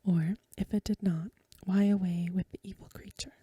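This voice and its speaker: A woman reading a story aloud for ASMR in a hushed, gentle, unhurried whisper, close to the microphone. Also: medium pitch, fairly even intonation. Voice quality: whispery, breathy. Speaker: ASMR reader and host. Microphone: close mic, dry.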